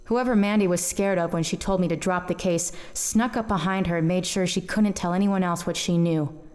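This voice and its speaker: A woman's voice: fairly low pitch, steady tone, a little fast, darkish tone, natural voice. A woman playing a hard-boiled, world-weary private detective in a noir audio drama, sardonic and wisecracking. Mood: wry, brooding. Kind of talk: noir audio drama dialogue and first-person narration.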